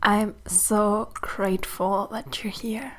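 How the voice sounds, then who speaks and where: Slow, soft-spoken German woman podcaster. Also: mid-range voice, steady tone. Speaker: podcast host and mentor. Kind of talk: slow reflective solo podcast talk.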